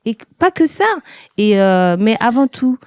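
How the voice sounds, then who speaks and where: A woman's voice: on the high side, expressive, a little slow, mellow, clear, resonant tone, no room sound, studio quality. A woman from Haiti hosting an interview podcast, welcoming and enthusiastic, with plenty of hesitant fillers. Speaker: podcast host.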